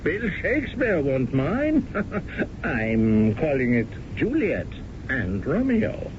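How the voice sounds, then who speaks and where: An effusive, doting theater director. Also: male voice, medium pitch, wildly swooping pitch, slow. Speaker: stage director. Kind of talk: 1970s radio drama acting. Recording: muffled old radio broadcast with hiss.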